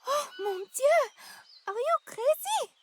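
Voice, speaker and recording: A very high, swooping voice for a young French girlfriend played by a woman. She is playful and fond, exclaiming with French endearments in video game drama style, over some background noise. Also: big pitch swings, a little slow, full character voice. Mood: overjoyed, excited. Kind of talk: dramatic game cutscene acting.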